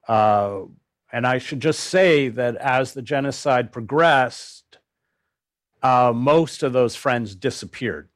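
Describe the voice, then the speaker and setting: An older man speaking as a journalist in an interview, recounting his work in a grave, deliberate, halting way. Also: mid-range voice.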